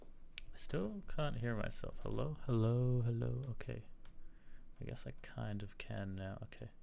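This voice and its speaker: A man with a slight American accent, chatting casually while teaching a live-streamed software tutorial and answering viewers. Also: medium pitch, unhurried, gravelly, slight background noise.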